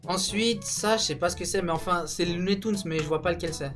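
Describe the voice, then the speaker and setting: A man's voice: high-pitched, fast talker. A young man casually hosting a video, bantering and ranking things in a slangy, opinionated, emphatic way. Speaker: video host. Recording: music behind the voice. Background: music.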